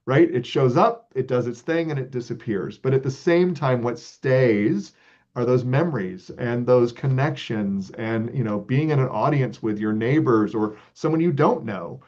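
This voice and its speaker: A man of middle pitch talks through his answers in an earnest, reflective way and keeps filling pauses with ums and uhs. The audio is slightly muffled, with a lo-fi edge. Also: darkish tone. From Texas. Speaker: arts organization leader, guest. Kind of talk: relaxed interview answers.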